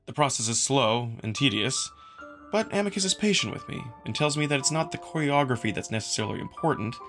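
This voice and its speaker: A man reading scripted first-person narration from a visual novel as a story reader. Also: medium pitch.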